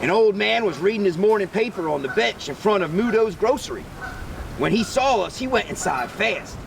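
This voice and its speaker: Male teacher type for picture-book read-aloud: high, animated voice with some fry, recorded a bit off-mic. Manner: animated, pausing to quiz listeners. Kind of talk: expressive children's read-aloud. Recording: distant mic, rumbly background noise.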